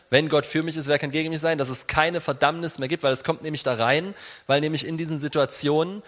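A man preaching an informal sermon in an animated, conversational way, speaking directly to his listeners. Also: a little high. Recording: reverberant hall sound.

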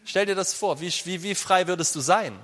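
Male preacher, higher-pitched voice, animated and conversational, informal sermon delivery, long hall reverb. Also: moderate pace. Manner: animated, conversational, addresses listeners directly.

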